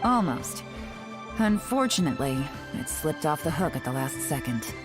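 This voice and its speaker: Low-voiced, cool intelligence agent. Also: female voice, very animated, moderate pace. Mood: shrewd, cool. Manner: composed, matter-of-fact. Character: cool, confident female intelligence agent, shrewd and composed. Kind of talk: video game voice acting. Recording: music behind the voice.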